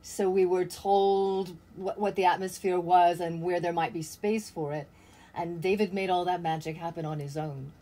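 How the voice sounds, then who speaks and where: A woman's voice: on the low side, steady tone, moderate pace, mellow. A woman giving conversational answers as a guest cast member in a video-call interview.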